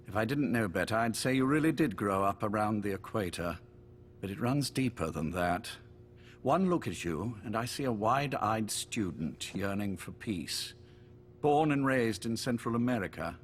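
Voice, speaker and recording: An actor playing a courteous but menacing older spymaster in a video game, urbane, measured and wryly polite. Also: male voice, lowish voice, a little hiss or hum. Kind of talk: dramatic game voice acting.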